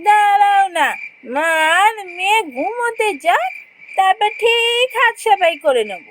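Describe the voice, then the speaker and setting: A woman's voice: very high voice, animated, unhurried, noisy background. A woman voicing a greedy, scheming aunt in a fairy-tale cartoon, whiny and exclaiming as she wheedles and pleads. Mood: sly, indignant.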